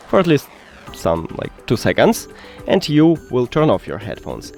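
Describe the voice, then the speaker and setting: Man with lively, swooping pitch. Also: creaky. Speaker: tech tutorial host. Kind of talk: step-by-step instructional narration.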